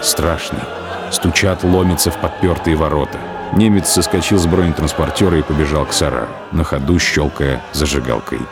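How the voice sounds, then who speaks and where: A man narrating a radio drama in a grave, measured way. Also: rumbling low. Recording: radio play with loud music underneath.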